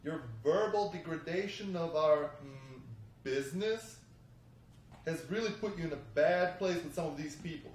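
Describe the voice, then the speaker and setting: Guy doing menacing gang boss, kinda higher voice, stern n deliberate, roomy sound, distant mic. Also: slight background noise, lightly acted. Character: guarded, menacing male gang leader. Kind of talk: script table read. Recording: echoey room, distant mic.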